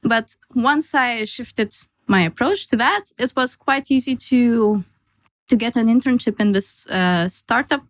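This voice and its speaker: A woman's voice: on the high side, measured pace, dry recording, poor audio. A young woman from Lithuania, a native Lithuanian speaker, chatting casually as a podcast guest and laughing between restarts. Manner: restarts and laughs while telling her story. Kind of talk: casual chat.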